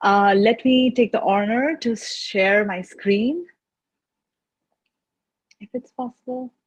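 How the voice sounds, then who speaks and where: Slightly husky young Nepali woman. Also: a little high, steady tone, slow. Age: 20s. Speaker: youth representative and panel speaker. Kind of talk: personal presentation to an online audience. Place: video call.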